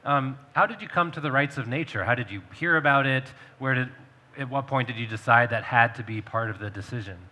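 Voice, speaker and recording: A man's voice: mid-range voice, brisk, sharp tone, in a large hall. A man moderating a conference panel, summarizing points fluently and asking follow-up questions. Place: conference stage.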